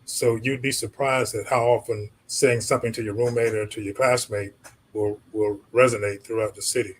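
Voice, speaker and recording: A man's voice: fairly low pitch, fairly even intonation, normal speed, poor audio. A man moderating a community panel, chatting casually and directing questions to the speakers. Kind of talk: panel discussion.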